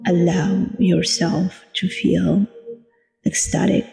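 A woman guiding a meditation in a soothing, deliberate manner, leaving long pauses between short phrases. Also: fairly low pitch, little pitch movement, vocal fry, dark tone. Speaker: meditation guide, coach. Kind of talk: guided meditation narration. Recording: long reverb with music behind. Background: meditation music.

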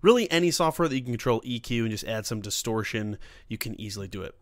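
Guy doing a laid-back how-to voice-over, mid pitch, really dry close mic. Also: lively intonation, quick pace, no echo. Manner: informal, talks through steps as he works. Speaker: tutorial host. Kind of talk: casual screen-recorded software tutorial.

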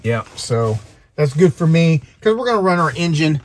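A man's voice: on the high side, very animated, normal speed. A man in his fifties casually explaining boat chores, rambling with restarts, on a sailboat with engine and wind noise behind. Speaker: sailing vlogger. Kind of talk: casual how-to narration. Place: sailboat cabin, then open deck outdoors.

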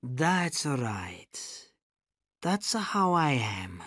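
Slow, menacing man's take on a gangster boss. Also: medium pitch, very animated, no echo, clean studio sound, exaggerated voice. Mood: sneering menace. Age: middle-aged. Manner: swings from hushed menace to screaming rage. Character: slim gangster boss in his fifties, volatile and menacing.

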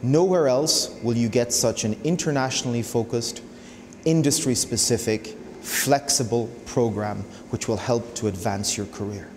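A man's voice: medium pitch, normal speed, darkish tone, long reverb tail, slight background noise. A man giving a confident promotional interview about a business program, filmed in an office.

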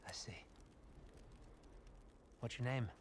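An American man, middle-aged, playing a soft-spoken, placating survivor leader in a video game, with a hesitant, folksy, persuasive manner. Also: slow, a little hiss or hum, close to an everyday voice. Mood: gentle, coaxing. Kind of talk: naturalistic cinematic video game acting.

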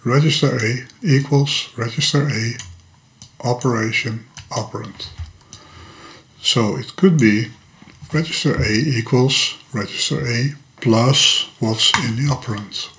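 A man's voice: mid-range voice, measured pace, a bit bright. An older man with a Dutch accent, a native Dutch speaker, patiently and methodically explaining a computer topic in a screen-recorded lesson at his desk, now and then tripping over a word. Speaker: hobbyist teacher. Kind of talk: screen-recorded programming tutorial. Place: home workshop room with electronics.

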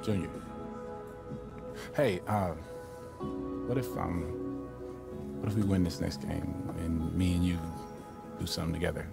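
An actor playing a cool, earnest young American college basketball star in a video game, with casual, laid-back phrasing. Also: male voice, low voice, fairly even intonation, measured pace, creaky, pauses often, loud music behind the voice, heavily acted. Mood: shy, earnest. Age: young adult. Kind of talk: naturalistic video game cutscene acting.

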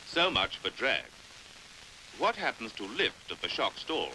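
British male narrator, vintage science film. Very bright, forceful voice with lively intonation, precise delivery, old hissy soundtrack. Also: medium pitch, a little slow, poor audio. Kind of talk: scripted narration, 1950s educational film.

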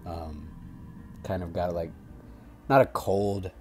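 A young man with a congested voice, casually commenting on his gameplay, swearing often and reacting loudly to the game. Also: deep voice, slow pace. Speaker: gaming YouTuber. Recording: loud game music behind.

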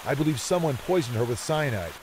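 Actor as a lively, worried man in a mystery game. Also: mid-range voice, fast, a little hiss or hum, lightly acted, rough recording. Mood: worried, urgent.

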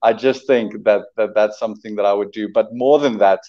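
A young man from South Africa, Afrikaans his first language, is talking on a video call from a room at home. His voice sits low and warm, and it comes through a dull, lo-fi webcam line. He talks eagerly and often restarts his phrases. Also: fairly even intonation, fairly quick. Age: 20s. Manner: eager, repeats words while building his point. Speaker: guest, entrepreneur and author. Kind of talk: casual video-call interview.

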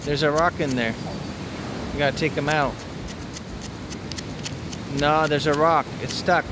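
A man's voice: a little high, fairly even intonation, measured pace. A man filming and coaching a small child at play on an outdoor beach, patiently repeating simple instructions from behind a handheld phone camera. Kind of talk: casual talk to a toddler. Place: outdoors on a sandy lakeshore beach. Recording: outdoor wind and wave noise.

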